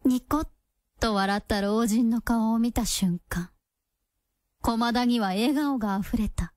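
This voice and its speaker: A woman's voice: on the high side, unhurried, a little mellow, no echo, studio quality. A woman reading scripted narration for a radio drama as its storyteller.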